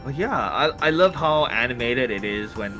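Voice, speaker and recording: A man's voice: medium pitch, animated, normal speed. A man chatting casually as a livestream co-presenter, hesitant and circling back over his phrases. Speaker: co-presenter working on the project. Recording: music playing behind voice. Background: music.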